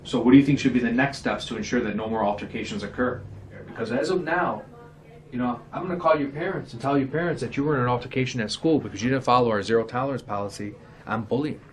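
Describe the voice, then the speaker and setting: A man's voice: mid-range voice, fast talker, lightly acted, low-quality recording. A man playing a stern, orderly school disciplinarian in an amateur dramatization, questioning in a firm, formal way. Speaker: school administrator questioning students.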